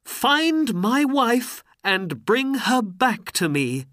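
An earnest, lovesick young man from a storybook adventure, acted out by a narrator. His voice rides high and swings widely, pleading with drawn-out, desperate words. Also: huge pitch swings, gravelly, a bit bright, breathy edge, dry recording, studio quality, heavily acted. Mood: urgent pleading.

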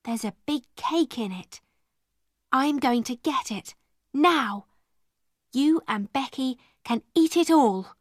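A woman voicing an eager, kind-hearted schoolgirl in a story, excitable and anxious to please. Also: high voice, takes it slowly, pauses often, dry recording, clean studio sound, heavily acted. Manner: excitable, pleading.

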